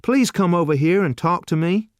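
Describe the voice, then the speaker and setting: A man's voice: high-pitched, moderate pace, no room sound, studio quality, pitched above the performer's own voice. Man doing an eager, naive teenage boy. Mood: delighted. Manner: excited, wide-eyed, exclaiming. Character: naive, eager seventeen-year-old boy.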